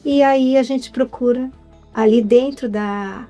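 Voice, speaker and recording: Chatty Brazilian woman, slightly high voice. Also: slow pace, faint music behind. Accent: Brazilian Portuguese. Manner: animated, chatty, frequent tag questions. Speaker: guest, writer and actress. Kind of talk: relaxed interview chat.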